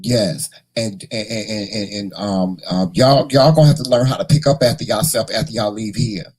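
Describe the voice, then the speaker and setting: A hoarse man hosting a casual morning livestream, chatting and teasing his viewers in a folksy way. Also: lowish voice, normal speed, darkish tone. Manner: folksy, teasing, talks to the chat; repeats words and restarts often. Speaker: morning show host.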